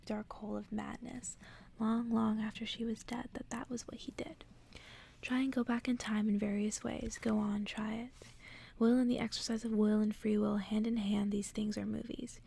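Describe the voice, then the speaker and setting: Creaky, whispering ASMR woman. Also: on the high side, slight background noise. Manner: whispering, soft-spoken. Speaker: ASMR host reading stories aloud. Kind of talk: ASMR bedtime story reading. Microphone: close ASMR microphone.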